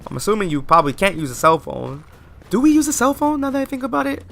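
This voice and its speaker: A man's voice: high voice, sing-song, fast, vocal fry, fairly bright tone. A man giving post-recorded commentary over gameplay, chatty and rambling, often asking viewers questions. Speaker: let's play host.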